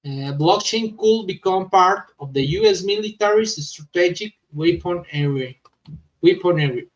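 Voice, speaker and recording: Male news vlogger, native Spanish speaker with accent, slightly high voice, unhurried and stumbling delivery, set back from the mic. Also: expressive, recorded from a distance, lo-fi sound. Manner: halting, stumbling non-native English. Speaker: host of a crypto news vlog. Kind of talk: reading news with informal commentary.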